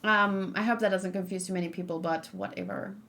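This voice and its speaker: A woman's voice: medium pitch, steady tone, a little fast, creaky, sharp tone, slight background noise. Rambling woman reacting to a trailer. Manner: rambling, self-correcting, with frequent ums. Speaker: streamer reacting to a game trailer. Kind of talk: casual reaction commentary. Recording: faint background music.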